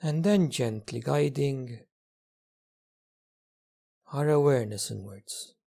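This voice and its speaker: A man leading a guided meditation as a teacher, speaking gently in short phrases with long pauses. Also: medium pitch, vocal fry. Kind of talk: guided meditation, slow spoken instruction.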